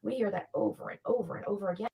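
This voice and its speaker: A woman's voice: medium pitch, rapid-fire, vocal fry, warm tone, breathy edge. A woman giving informal, opinionated online commentary in an indignant, insistent, repetitive way.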